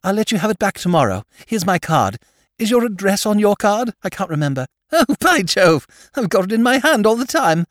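Giddy young aristocrat, high and breathless, read by a man doing the voice, swooping lively delivery. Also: a little fast, dry recording, studio quality. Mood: gushing. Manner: breathless, high-pitched, gushing upper-class chatter. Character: giddy weedy young English lord, male, twenties.

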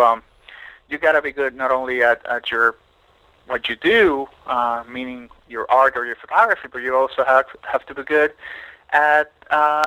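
A middle-aged man from Puerto Rico speaks with a crisp, bright tone. He is a photographer talking in a podcast interview. He works through his thoughts slowly, full of restarts and fillers. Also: medium pitch, no echo, rough recording. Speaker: photographer guest.

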